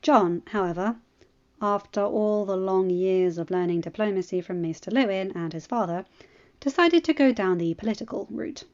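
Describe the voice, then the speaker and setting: A woman's voice: medium pitch, moderate pace, darkish tone, lo-fi sound. A woman reading scripted story narration as an audiobook reader. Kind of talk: scripted fan fiction narration.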